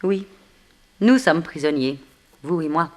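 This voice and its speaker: A woman's voice: low-pitched, expressive, takes it slowly, a little mellow. An actress in a 1960s French radio drama playing an earnest, refined captive woman, formal and dramatic in her telling. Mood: earnest, pleading.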